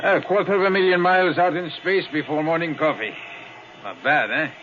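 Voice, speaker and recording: A man's voice: fairly high pitch, close to an everyday voice. An actor playing a bitter, guarded pilot in his thirties, speaking curtly in a 1950s radio drama. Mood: dry, matter-of-fact. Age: 30s. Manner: curt, brooding, deliberate. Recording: dull, lo-fi 1950s radio recording.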